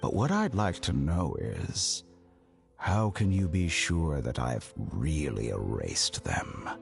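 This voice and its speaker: An arrogant scholar villain for a video game, with a low voice that swings expressively through measured, unhurried phrases. He sounds coolly amused, formal and condescending. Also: wildly swooping pitch, vocal fry, soft background music, full character voice. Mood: cool, smug. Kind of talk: theatrical video game voice acting.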